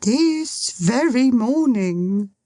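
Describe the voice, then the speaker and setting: A woman's voice: lively intonation, drawn-out words, dark tone, no room sound, studio quality, full character voice. A woman reader voicing an agitated, fussy male secretary in a storybook reading. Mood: grave. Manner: high, breathless, fretful.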